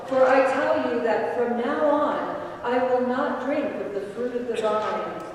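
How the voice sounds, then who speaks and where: A woman reading scripture solemnly and deliberately during a church service. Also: mid-range voice, a little mellow. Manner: measured, deliberate phrasing. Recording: distant, very echoey church, noisy.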